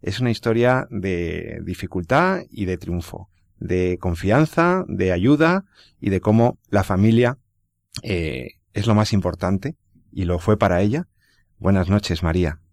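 A man hosting a radio program from a studio, formal and measured. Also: low voice, lively intonation, vocal fry, a little mellow, dry recording. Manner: formal, measured presenter with some restarts. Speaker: radio host.

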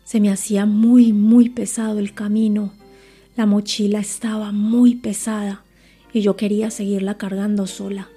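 A woman's voice: medium pitch, little pitch movement, normal speed, dark tone, breathy edge, soft background music. A woman in her forties from Colombia giving a personal faith testimony in an earnest, reflective way.

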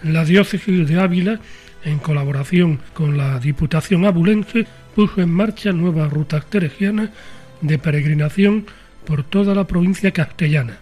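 Higher-voiced male news narrator. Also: normal speed, darkish tone, faint music behind. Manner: clear, formal reading. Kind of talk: scripted voice-over narration.

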